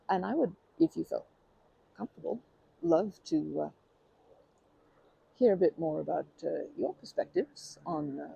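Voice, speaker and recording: A performer plays a nervous stranger shyly flirting in an ASMR roleplay. The voice is mid-pitched, soft-edged and mellow with a creaky grain, recorded close and dry. The speech is polite, ornate and full of hesitant, apologetic detours. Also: no room sound, exaggerated voice, low-quality recording. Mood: shy, hopeful.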